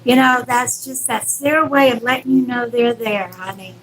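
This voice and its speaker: A woman's voice: on the high side, normal speed, a little hiss or hum, rough recording. A woman hosting a live stream as a psychic medium, speaking casually and affectionately to viewers with reassurance and endearments.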